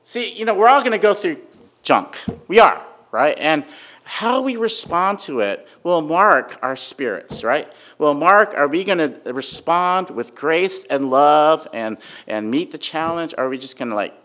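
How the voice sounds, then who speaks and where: A man giving an informal sermon with a personal story, talking conversationally and loudly, with many fillers and frequent "right?" check-ins. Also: on the high side, lively intonation, unhurried. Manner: conversational, filler-heavy, asks "right?" often; says he has a really loud voice. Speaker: preacher.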